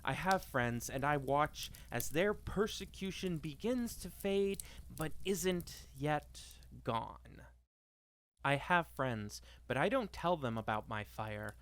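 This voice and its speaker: A man performing a dramatic monologue reading in a deliberate, repeating cadence with weighted pauses. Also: on the high side, animated, fairly bright tone, clear, resonant tone. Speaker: monologue reader.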